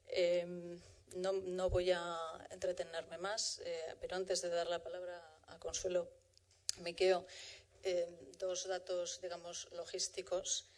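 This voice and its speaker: A woman moderates a professional conference, covering practical notes and introducing the first speaker. Her pitch stays flat and even throughout. She speaks in a measured way, often pausing and restarting her sentences. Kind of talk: formal event introduction.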